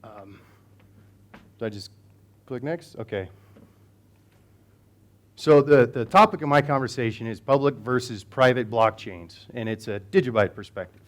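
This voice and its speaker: A man's voice: medium pitch, measured pace, long pauses. A man from the United States giving a conversational conference talk in a hall, with many fillers and restarts. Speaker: conference speaker.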